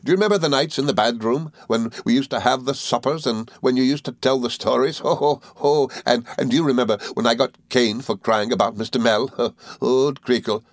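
A man voicing a cheerful, good-natured young gentleman law student in an audiobook, eager and flustered, with little stammering hesitations. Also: mid-range voice, brisk, few pauses, dry recording, clean studio sound, pitched above the performer's own voice, close to an everyday voice. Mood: merry, nostalgic. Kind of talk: audiobook character dialogue.

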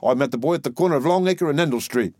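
A man voicing an elderly working-class dustman in a play reading, expressive, rhetorical and wheedling. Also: a little high, very fast, no echo, clean studio sound, voice pushed up, close to an everyday voice. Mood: explaining. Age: older.

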